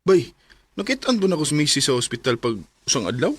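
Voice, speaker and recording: A man's voice: a little high, very animated, quick pace, over music. An actor playing a frank, teasing young male friend in a radio drama, with a Cebuano Filipino accent. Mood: concerned, informative.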